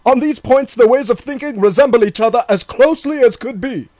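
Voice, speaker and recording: A man's voice: very high-pitched, a little fast, mellow, dry recording, voice pushed up. A man reading in a raised voice as a quoted political writer. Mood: measured. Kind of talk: audiobook reading of quoted passages.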